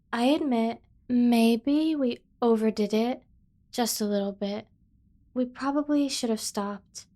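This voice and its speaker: A woman playing a doting, obsessive kidnapper sibling in an ASMR roleplay, coaxing and teasing with drawn-out emphasis. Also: medium pitch, mellow, natural voice. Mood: coaxing, playful. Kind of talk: scripted ASMR roleplay acting.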